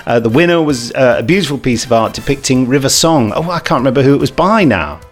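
A man's voice: medium pitch, lively intonation, quick pace, faint music behind. A man co-hosting a podcast in casual, jokey chat with a bumbling, self-deprecating manner. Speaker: podcast co-host.

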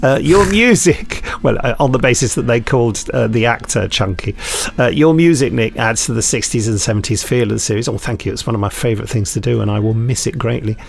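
A British man with a gravelly, creaky voice at an ordinary male pitch co-hosts a casual podcast. He reads listener emails aloud in a jokey, digressive way, often breaking off mid-sentence to add asides. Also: a little fast. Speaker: podcast co-host.

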